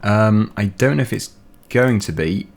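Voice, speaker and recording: A young man, slightly hoarse, chatting casually through a game while thinking aloud with fillers. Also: on the low side, animated, unhurried. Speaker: gaming channel host. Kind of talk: casual gameplay commentary.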